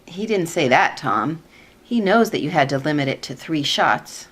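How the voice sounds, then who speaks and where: A woman plays a skeptical government staffer in a radio comedy. Her voice is a little low, and she delivers her doubts in a wry, measured way. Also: expressive, fairly bright tone, husky, exaggerated voice. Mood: matter-of-fact. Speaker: actor in a radio play. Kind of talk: sitcom-style radio comedy acting.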